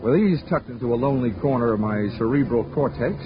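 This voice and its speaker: A man's voice: mid-range voice, animated, quick pace, natural voice. Guy playing a wisecracking hard-boiled insurance detective, world-weary, old radio show, music underneath, muffled sound. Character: wry, hard-boiled male insurance investigator. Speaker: narrator and lead. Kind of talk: 1940s radio acting.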